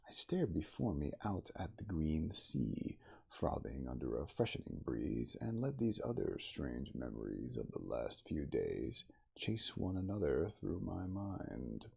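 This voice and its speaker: A man giving a theatrical, deliberate ASMR storybook reading as the narrator of a classic novel. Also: a bass voice, sing-song, gravelly, a little mellow, slightly rough.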